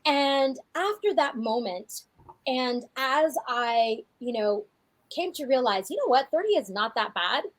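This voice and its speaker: A woman in her forties, a fitness coach co-hosting a podcast, talking in a chatty, animated way with frequent restarts. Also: sits high, unhurried. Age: 40s. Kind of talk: casual podcast chat.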